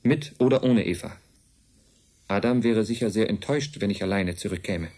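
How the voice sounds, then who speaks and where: An actor in a German radio drama playing a calm, earnest envoy of an advanced race, speaking in a measured, matter-of-fact way. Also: male voice, fairly low pitch, close to an everyday voice. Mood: firm, sober.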